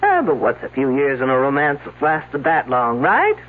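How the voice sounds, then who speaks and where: An actress playing a sharp-tongued woman detective with a dry, sarcastic way of talking. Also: extremely low pitch, huge pitch swings, normal speed, exaggerated voice. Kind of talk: 1970s radio drama acting. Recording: tinny old radio broadcast.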